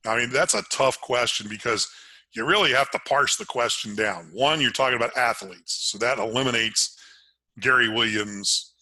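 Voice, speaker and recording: An older American man, a sports announcer giving an interview, talking conversationally and landing key points with deliberate emphasis. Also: mid-range voice, pushed and bright, no room sound. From Maryland, United States. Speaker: sports announcer, podcast guest.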